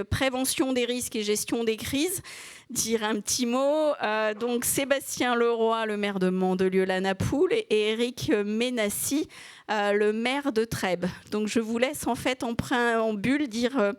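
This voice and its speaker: A woman moderating a conference session in a semi-formal, somewhat halting way. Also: fairly high pitch, clear, resonant tone, dry recording. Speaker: moderator opening a panel session.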